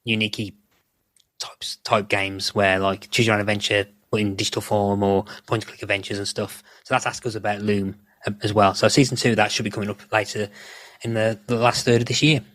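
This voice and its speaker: Male podcast guest, low voice, close dry sound, loose rambling conversational delivery with casual swearing. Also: level tone, a little fast, fairly bright tone, no room sound.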